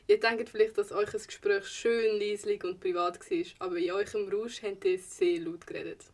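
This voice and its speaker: A woman's voice: mid-range voice, steady tone, moderate pace, darkish tone, natural voice. A young woman playing a reassuring, plain-spoken friend in an audio drama. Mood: frank, supportive. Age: young adult. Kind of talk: amateur audio drama dialogue. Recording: faint ambient noise behind.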